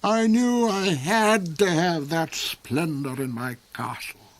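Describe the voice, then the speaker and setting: A man voicing a cold, cruel duke in a storybook reading, with shrill, theatrical outbursts. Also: slow pace. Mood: brooding.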